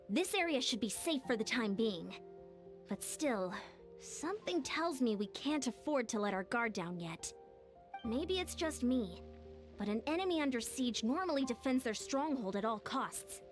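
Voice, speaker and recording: An earnest young female battle leader from an anime-style game. She speaks in a high, animated voice, focused and commanding, always on guard. Soft game music sits underneath. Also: a little slow. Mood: wary.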